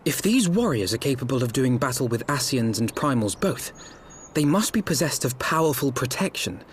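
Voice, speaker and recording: An actor playing a thoughtful scholar in a fantasy game, with formal, deliberate, archaic phrasing. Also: male voice, medium pitch, very animated, slight background noise, natural voice. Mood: pensive.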